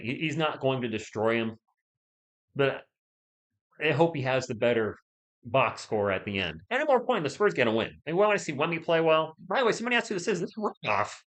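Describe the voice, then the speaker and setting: A chatty man hosting a live stream from his home setup, talking straight into a laptop mic. His pitch bounces around a lot, and he bursts into loud shouts now and then. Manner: chatty, talks to chat, loud bursts when testing. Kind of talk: casual live-stream chat. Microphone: laptop or desk mic.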